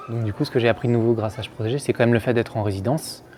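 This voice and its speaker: A man's voice: mid-range voice, fairly even intonation, racing, a little mellow, a little hiss or hum. A man, a musician, explaining his experience of an artists' residency in an interview, talking fluently.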